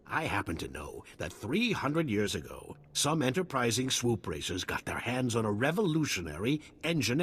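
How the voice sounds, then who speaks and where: A slick frontier tech salesman for a video game. His mid-range voice swoops up and down as he pitches and jokes, greedy and a little cowardly, over faint noise. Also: fairly bright tone, full character voice. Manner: showy sales pitch, joking, theatrical pauses. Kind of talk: video game character acting.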